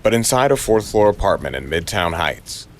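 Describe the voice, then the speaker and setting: A man's voice: fairly low pitch, very animated, normal speed, noisy background. A man narrating a noir crime audio drama in a brooding, dramatic way with short clipped phrases.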